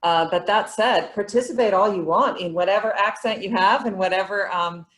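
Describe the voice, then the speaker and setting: A woman's voice: medium pitch, moderate pace, fairly bright tone. A woman speaking as a museum representative on a panel, explaining things with enthusiasm. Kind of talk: panel discussion answers.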